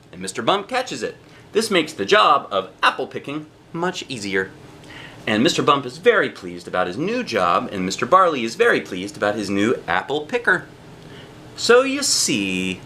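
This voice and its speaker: A man reading a children's storybook aloud in an expressive way, with drawn-out emphasis on key phrases. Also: medium pitch, a little hiss or hum. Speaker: storybook reader, a dad.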